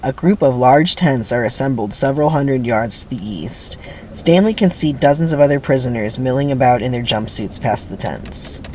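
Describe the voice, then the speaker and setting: A man's voice: mid-range voice, normal speed, a little mellow, low-quality recording. A man doing a theatrical dramatic reading of a screenplay as narrator, with wry asides and faint background noise.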